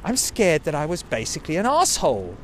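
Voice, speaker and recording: A man's voice: a little high, wildly swooping pitch, fairly quick, a little hiss or hum. A middle-aged man from South Africa with a South African accent, rambling profanely and self-mockingly in a casual recorded message. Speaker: video message maker. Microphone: handheld recorder.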